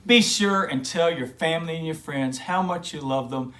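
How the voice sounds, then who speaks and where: A man reading a fairy tale aloud to children in a gentle, friendly storybook manner, then chatting warmly to his young listeners. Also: on the high side, normal speed, slight background noise. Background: guitar music.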